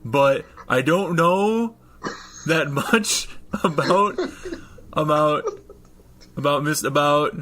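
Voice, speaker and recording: Man putting on a flustered, higher-voiced teenage boy. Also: a bit bright. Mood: flustered, scared. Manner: halting, flustered. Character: frightened, clueless chubby teenage boy.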